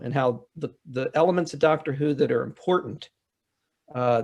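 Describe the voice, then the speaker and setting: A man speaking as a writer on a panel, explaining things conversationally with halting starts and self-interruptions. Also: mid-range voice, creaky, darkish tone. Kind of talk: casual panel discussion.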